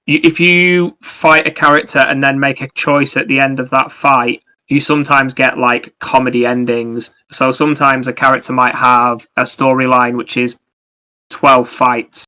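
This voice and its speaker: Male gaming podcast co-host, forward bright tone, flat intonation, informal conversational explaining. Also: medium pitch. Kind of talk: casual chat about video games.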